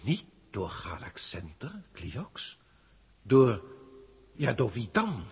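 Old emotional alien mentor, 1960s radio play, raspy, really animated pitch, big reverb. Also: male voice, slow pace, heavily acted. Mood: astonished. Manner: theatrical, formal archaic diction, brooding. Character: old emotional alien senior proctor, male teacher, wry. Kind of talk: 1960s radio drama acting.

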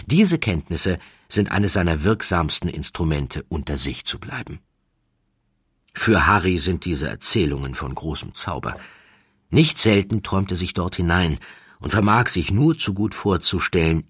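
A man with a very low, husky voice reads a story as an audiobook narrator. His delivery is measured and dramatic, with deliberate pauses. The sound is very dry and close to the microphone. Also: expressive, fairly bright tone, dry recording. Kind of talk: scripted literary narration of a biographical story.

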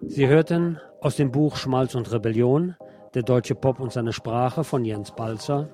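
A man reads a nonfiction book as a narrator, in a measured, formal way. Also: medium pitch. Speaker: narrator and audiobook reader of a radio programme.

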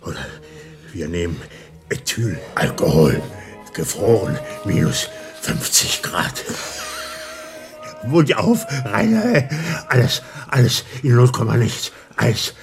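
An actor in a German radio play playing a gruff, vindictive intelligence officer who barks orders and speaks in halting bursts. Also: male voice, on the low side, very animated, music in the background, heavily acted. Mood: drunken, gloating. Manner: barking orders, halting hesitations. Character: gruff, aggressive male intelligence officer at an embassy, obsessed and vindictive.

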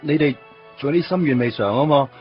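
A timid young man in a radio play, voiced by a man, who stammers and repeats himself in Hong Kong Cantonese. His voice sits a little high for a man. The recording is dull and lo-fi, with music faint underneath. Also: brisk, natural voice. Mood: earnest. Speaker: supporting character in a radio play. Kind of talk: 1990s radio drama acting.

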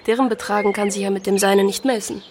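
An actress as a witty, teasing young sister. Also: female voice, mid-range voice, steady tone, quick pace, a little mellow, natural voice. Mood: teasing, affectionate. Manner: playful, candid. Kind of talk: German radio drama acting. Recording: clear outdoor noise with birdsong.

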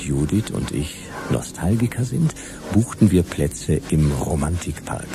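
An actor playing a grumpy, nostalgic private detective of the future in a German radio play, wry and laconic. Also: male voice, low voice, expressive, a little slow. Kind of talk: German radio play, first-person noir narration. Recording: loud music behind the voice.

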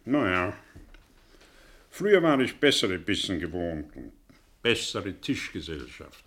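A worn but proud professor with a husky voice and very animated intonation. He is ironic and learned, talking down in the manner of a radio play. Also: sits low, exaggerated voice. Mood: dry, sardonic. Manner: ironic, erudite, halting when agitated. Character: sardonic, condescending older male professor, worn and proud.

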